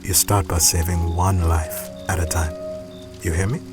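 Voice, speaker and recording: An actor playing a gruff, protective older street mentor in a cinematic audio drama. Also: male voice, sits low, little pitch movement, normal speed, natural voice. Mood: wry, fond. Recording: dramatic music behind.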